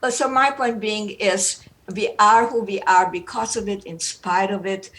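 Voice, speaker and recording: An older woman gives a spirited, unscripted talk. She rambles playfully and circles back to repeat herself, speaking at a middling pitch. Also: fairly even intonation, a little slow, fairly bright tone. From Romania. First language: German. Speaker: guest speaker.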